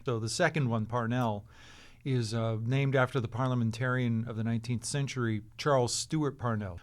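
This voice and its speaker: Man explaining things with precise relish. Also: mid-range voice. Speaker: cast member on a podcast panel. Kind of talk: casual chat with explanatory asides.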